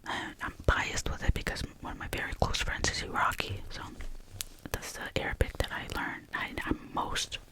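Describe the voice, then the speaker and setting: A young woman from Albania, whose first language is Albanian, whispers through a rambling ASMR question-and-answer session full of fillers. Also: normal speed. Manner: soft, rambling and digressive, with many fillers. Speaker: ASMR creator.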